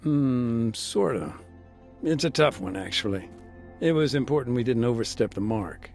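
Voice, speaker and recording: War-weary humanitarian, measured, hesitant, medium pitch, naturalistic game dialogue, soft music behind. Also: male voice, expressive, gravelly. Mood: measured, matter-of-fact. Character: weary, earnest male humanitarian aid worker recounting a war. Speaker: humanitarian aid worker being interviewed. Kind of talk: naturalistic video game dialogue, interview recollection.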